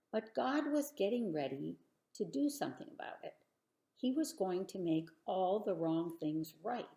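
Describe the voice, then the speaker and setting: A woman reading a children's bedtime story aloud with expressive narration, dramatic pauses and emphatic phrasing. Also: mid-range voice, creaky, echoey room.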